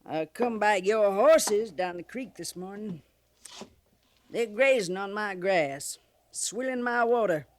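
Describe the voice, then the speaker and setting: A woman's voice: mid-range voice, animated, slow pace, a little hiss or hum. A woman playing a sly, grasping old frontier trader widow with a folksy rural Western American drawl in a 1950s radio western. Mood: stubborn, menacing. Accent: American rural Western dialect. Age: older. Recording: vintage 1950s radio recording.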